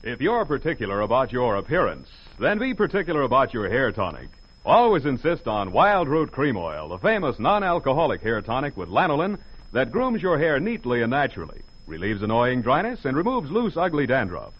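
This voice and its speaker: A man's voice: medium pitch, very animated, fairly quick, husky. An American man working as a radio announcer, reading scripted ads and credits in a polished, emphatic 1940s style. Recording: muffled 1940s radio recording.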